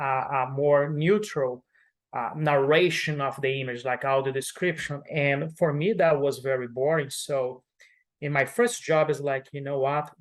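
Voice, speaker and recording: A man with a Brazilian Portuguese accent, a native Portuguese speaker, giving thoughtful interview answers with frequent fillers and restarts. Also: fairly high pitch. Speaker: audio description manager.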